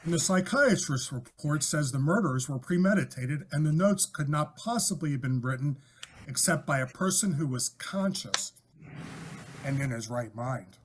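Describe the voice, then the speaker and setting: An actor playing a brisk, confident, reassuring police inspector in an old time radio drama. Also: male voice, fairly high pitch, animated, slight background noise, natural voice, poor audio. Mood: brisk, official.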